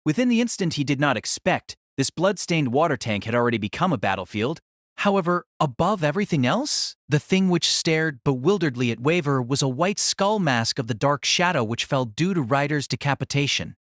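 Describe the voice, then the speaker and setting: Higher-voiced male narrator, very dry sound. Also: expressive, brisk, fairly bright tone, dry recording. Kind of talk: scripted dramatic narration of a fantasy novel.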